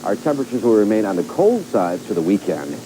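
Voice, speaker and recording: A man's voice: on the low side, big pitch swings, fast talker. A man presenting a scripted local television forecast and programme promo. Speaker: TV news presenter. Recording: noisy archive television broadcast.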